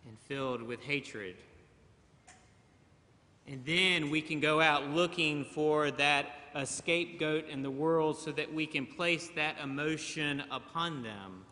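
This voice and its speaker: A middle-aged man preaching a sermon from a church pulpit, slow and measured with long pauses. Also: fairly high pitch, bright, forceful tone. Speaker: pastor. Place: large church sanctuary, at a pulpit. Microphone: pulpit mic, far camera. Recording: church hall reverb.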